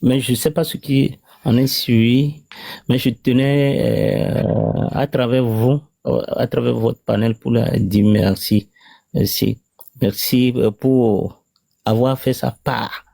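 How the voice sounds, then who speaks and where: A man giving a halting, hesitant personal testimony as a guest on a live panel. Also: medium pitch, fairly even intonation, creaky, warm tone, no echo.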